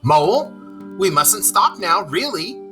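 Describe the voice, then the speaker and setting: Reader voicing a brisk, lively storybook rat. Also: medium pitch, music in the background, pitched above the performer's own voice. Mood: brisk, hurried. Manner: cheerful, brisk, coaxing. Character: brisk cheerful rat, a hurried good fellow.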